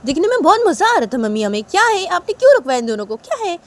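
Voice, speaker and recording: A woman's voice: extremely high pitch, very animated, racing, pitched above the performer's own voice. A woman voicing an elder sister who eggs on a fight for fun. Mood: teasing. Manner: teasing, egging others on. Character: amused teenage elder sister.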